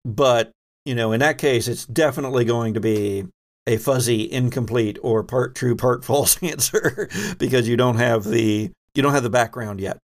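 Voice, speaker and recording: Man game master with gravelly edge. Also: medium pitch, normal speed. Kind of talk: running a tabletop role-playing game.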